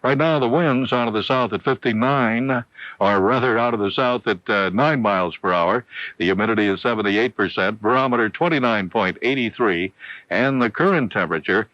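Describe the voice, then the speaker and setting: A man's voice: fairly low pitch, moderate pace, fairly bright tone, no echo. A man with an American accent reading news headlines and weather as a radio announcer. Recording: dull old AM radio broadcast.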